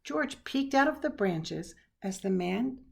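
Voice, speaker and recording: A woman reading a children's picture book aloud expressively, with dramatic pauses and hushed asides. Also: medium pitch, lively intonation, vocal fry, a little hiss or hum. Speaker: storybook reader.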